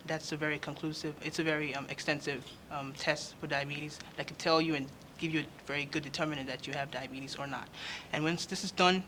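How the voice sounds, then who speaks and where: A young male nursing student speaking earnestly in an interview, pausing often with fillers. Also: a little high, bright, forceful tone, a little hiss or hum. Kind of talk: on-camera interview. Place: state house conference.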